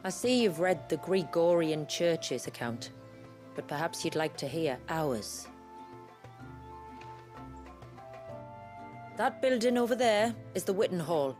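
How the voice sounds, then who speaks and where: A woman playing a proud, weary village elder in a video game, with a measured, solemn manner. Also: on the low side, expressive, darkish tone, natural voice. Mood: guarded, civil. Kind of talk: video game cutscene acting. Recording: music playing behind the voice.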